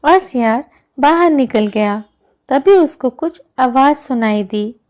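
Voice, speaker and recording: A woman's voice: sits high, lively intonation, slow pace, a little mellow. A woman narrating a scripted moral story for children. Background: music.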